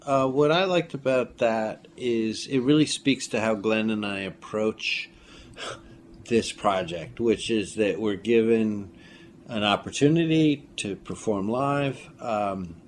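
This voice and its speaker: Low-voiced man telling animated anecdotes. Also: slow pace. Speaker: filmmaker and writer, interview guest. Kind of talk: casual conversational anecdotes. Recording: clearly noisy room ambience.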